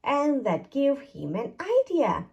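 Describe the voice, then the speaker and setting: Female storybook reader for young children: deliberate, highly animated intonation, slow delivery. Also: vocal fry, lo-fi sound. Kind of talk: children's read-aloud narration.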